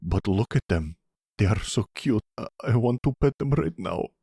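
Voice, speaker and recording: A man voicing an excitable, naive and blunt boy in an audio roleplay, stammering and gushing. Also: lowish voice, normal speed, vocal fry, husky, dry recording, studio quality, voice pushed up, full character voice. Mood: awed, excited.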